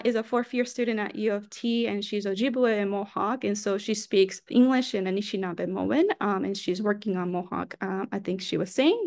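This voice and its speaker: Woman professor giving a casual slide talk. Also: mid-range voice. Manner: casual, many fillers and tag "right?". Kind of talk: academic talk over slides. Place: home or office, video call.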